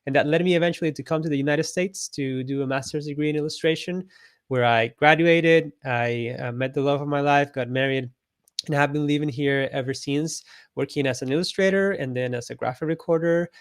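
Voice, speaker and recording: A man's voice: fairly high pitch, fairly even intonation, a little fast, clear, resonant tone, dry recording, studio quality. A man from Costa Rica, a guest on an interview show, telling his story in a friendly, reflective way and laughing often. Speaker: guest, illustrator and artist coach.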